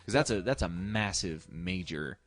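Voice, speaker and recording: A man's voice: deep voice, expressive, normal speed, a bit bright, faint music behind. A man talking casually about film as a co-host. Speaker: podcast co-host discussing film.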